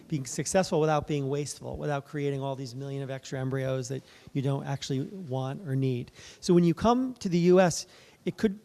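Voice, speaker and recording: A man, a fertility doctor, giving a soft-spoken, conversational talk at a conference, with many fillers and restarts. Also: a little high. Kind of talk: conference presentation. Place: conference room.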